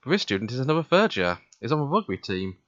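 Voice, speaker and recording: Animated male narrator, slightly muffled. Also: medium pitch, fast talker, no echo. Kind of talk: first-person visual novel narration, read aloud. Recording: dull, muffled home recording.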